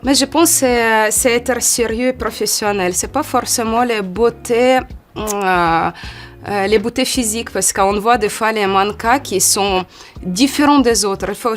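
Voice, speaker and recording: Femme à l'ukrainien, hésitante. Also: female voice, on the high side, a little slow, soft background music. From Ukraine. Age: 40s. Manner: hesitant, many "euh" fillers, lively when acting out quotes. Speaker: model, interview guest. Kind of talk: casual interview answers.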